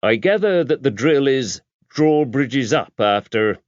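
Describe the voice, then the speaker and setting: A fastidious male investigator voiced by an audiobook narrator, wry and incredulous. He talks with exclamatory emphasis, as if baffled by his surroundings. The recording is dry and a little dull. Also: mid-range voice, expressive, dry recording, voice pushed up, close to an everyday voice. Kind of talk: audiobook character dialogue.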